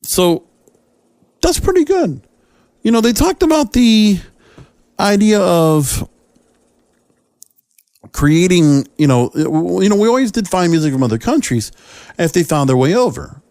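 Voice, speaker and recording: A man's voice: high-pitched, very animated, unhurried, long pauses, darkish tone, dry recording, studio quality. A man hosting a solo podcast, giving conversational opinion commentary on entertainment news, often asking rhetorical questions and rephrasing himself mid-sentence. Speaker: podcast host.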